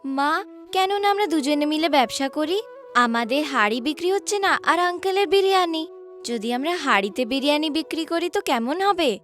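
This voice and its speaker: A woman's voice: sits high, lively intonation, moderate pace, a bit bright, soft background music, voice pushed up. A woman voicing an eager young daughter in a cartoon story, pleading and full of ideas in a childlike way.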